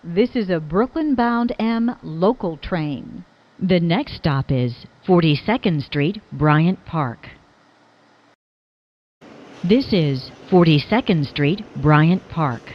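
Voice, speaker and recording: A woman's voice: fairly low pitch, animated, slow, lo-fi sound. A woman reading scripted subway announcements in formal, clipped phrases that sound pieced together.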